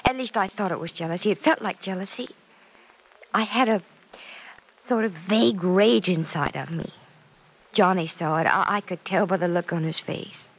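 An actress playing a troubled, lovestruck young daughter in a classic radio soap, earnest and wistful, speaking in hesitant, halting phrases. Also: female voice, medium pitch, lightly acted, low-quality recording. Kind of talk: mid-century radio soap acting.

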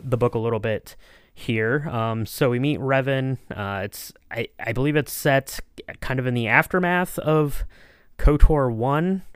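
A man's voice: medium pitch, a bit bright, clear, resonant tone, dry recording. A man hosting a podcast in a casual, informal, conversational way. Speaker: podcast host. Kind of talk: casual chat.

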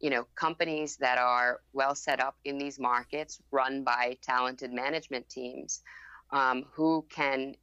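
A young woman who grew up in Thailand, with Thai as her first language, speaking as a podcast guest in a measured way, filling her answers with "you know" and "um". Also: very deep voice, fairly even intonation, pushed and bright, clean tone, no echo. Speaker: guest, fund founder. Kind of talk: podcast interview. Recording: dull lo-fi remote audio.

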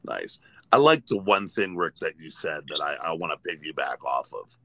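Male podcast host, low voice with a bright edge, casual conversational delivery. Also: animated, moderate pace, creaky, dry recording.

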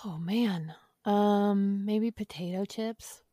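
A woman's voice: medium pitch, slow, noisy background. A woman from Massachusetts chatting casually as a podcast guest, hesitant with lots of fillers. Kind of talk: casual chat.

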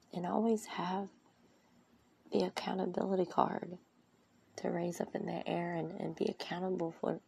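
A woman hosting a podcast, speaking in an earnest, rambling, heartfelt way with a soft, soothing voice. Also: sits low, gravelly. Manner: earnest, rambling, with restarts and asides. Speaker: podcast host. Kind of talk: heartfelt motivational monologue.

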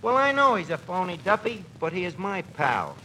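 An actor playing a cocky, gullible New York tavern manager in a radio comedy, with a New York accent and mangled pompous phrasing, on an old broadcast recording. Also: male voice, sits high, sing-song, normal speed, a little hiss or hum, poor audio. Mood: chatty, wry. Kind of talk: 1940s radio comedy acting.

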